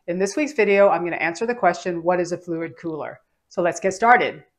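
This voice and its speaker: A woman's voice: fairly low pitch, fairly quick. A woman in her thirties presenting a scripted technical lesson, explaining equipment step by step as she draws. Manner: clear, steady instructional delivery. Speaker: HVAC training presenter.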